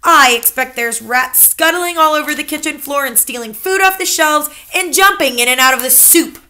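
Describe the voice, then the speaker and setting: A woman's voice: sits high, moderate pace, voice pushed up. A woman putting on a fierce, blunt old grandmother. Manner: forceful, scolding.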